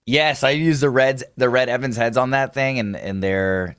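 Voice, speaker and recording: A man's voice: mid-range voice, steady tone, moderate pace, fairly bright tone, smooth, dry recording, clean studio sound. A man, chatting casually as a drummer guest on a video call, talkative and friendly with many fillers and restarts. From Houston, Texas. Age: late 20s. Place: home music studio room.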